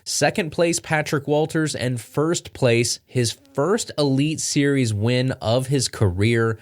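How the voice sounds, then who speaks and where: A man's voice: mid-range voice, slow pace. A man hosting a podcast in a casual, rambling talk, sounding stuffy from allergies. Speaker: podcast host.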